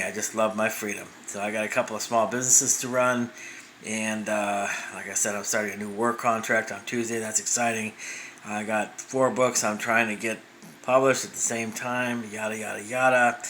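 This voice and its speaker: A man's voice: lowish voice, sharp tone, a little hiss or hum, rough recording. A man in his fifties telling a personal story as a vlogger, rambling with many restarts and fillers. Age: 50s.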